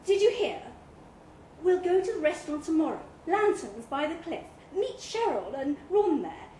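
Woman reading as a keen, high-voiced holidaying man. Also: big pitch swings, normal speed, mellow, distant mic. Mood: enthusiastic. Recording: echoey room, clearly noisy live recording.

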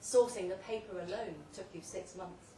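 A woman reads a short story aloud at a live event, delivering it theatrically in measured, deliberate phrases. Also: mid-range voice, fairly even intonation, dark tone. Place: pub event room, read aloud live. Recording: roomy, distant live recording with faint noise.

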